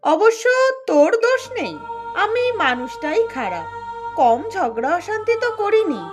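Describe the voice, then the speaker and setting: Cartoon role: woman as remorseful aunt, high animated pitch, contrite and emotional. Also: a little slow, over music. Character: remorseful, once-quarrelsome aunt making amends. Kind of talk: cartoon voice acting.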